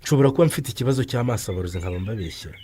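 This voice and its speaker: An actor playing a husband with failing eyesight, exclaiming and fretting. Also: male voice, fairly low pitch, very fast, slight background noise, natural voice. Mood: worried. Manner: animated, exclamatory. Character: injured husband with failing eyesight, worried but stubborn.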